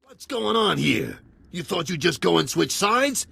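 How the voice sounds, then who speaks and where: An actor playing a defiant, betrayed old gang leader in a video game, rough and raspy, talking tough. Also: male voice, high voice, very animated, unhurried, sharp tone, full character voice. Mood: hurt, angry. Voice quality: raspy, gravelly. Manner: rough, challenging tough talk. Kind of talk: dramatic video game acting.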